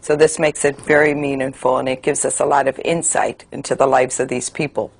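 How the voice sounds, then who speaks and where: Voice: medium pitch, fairly quick, creaky. A speaker born and raised in the United States, speaking earnestly in a documentary interview with frequent fillers. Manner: even, earnest, many fillers.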